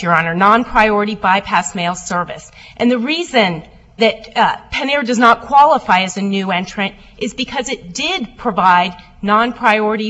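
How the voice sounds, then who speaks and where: A woman lawyer giving a formal oral argument before appeals judges, loud and steady as she answers questions. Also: mid-range voice, dull audio. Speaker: appellate lawyer.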